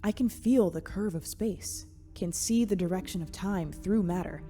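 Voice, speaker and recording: An actress playing a calm, wise computer-program daughter in an audio drama, serene and gently detached. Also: female voice, on the low side, moderate pace, lightly acted. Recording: long hall reverb with music.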